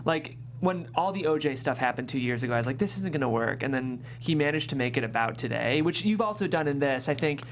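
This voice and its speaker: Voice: mid-range voice, animated, fast talker, hardly any pauses, a little hiss or hum. A host of a panel show, talking casually in a conversational interview style.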